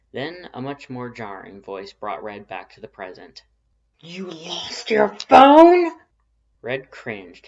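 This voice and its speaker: A narrator reading a scripted fan fiction story aloud. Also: deep voice. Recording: dull lo-fi recording.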